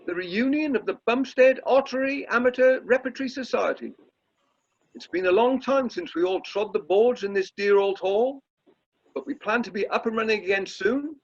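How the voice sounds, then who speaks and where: A pompous British chairman, played by an amateur actor, self-important and quickly exasperated. His voice is husky, making speeches in radio play style over dull online audio. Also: extremely high pitch, exaggerated voice. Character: pompous, easily exasperated drama society chairman.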